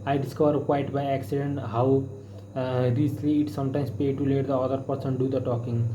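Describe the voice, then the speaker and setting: A man's voice: mid-range voice, little pitch movement, brisk, noisy background. A man reading a book aloud, stumbling and restarting on some words. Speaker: book reader. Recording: roomy echo with clear background ambience.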